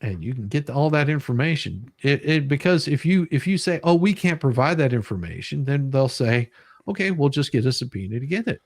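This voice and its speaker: A man's voice: medium pitch, expressive, fairly quick, no room sound. A man with a mid-range voice speaks in a dry, close-sounding space. He reads formal text aloud and comments on it in an emphatic, casual livestream style, circling back over his points. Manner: emphatic, repeats and explains points. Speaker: livestream host. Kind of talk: casual live commentary while reading legal documents aloud.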